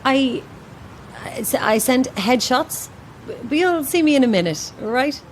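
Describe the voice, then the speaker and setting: A woman's voice: high-pitched, lively intonation, unhurried, a little hiss or hum. A woman playing a flustered, self-dramatizing struggling actress who rambles theatrically to herself in an audio drama. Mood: polite, flustered. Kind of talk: modern audio drama acting.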